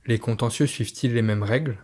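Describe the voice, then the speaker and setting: A man's voice: medium pitch, a little fast, a little mellow. A man with a mid-range voice takes the interviewer's part. His questions are formally phrased and sound prepared in advance, read as scripted prompts.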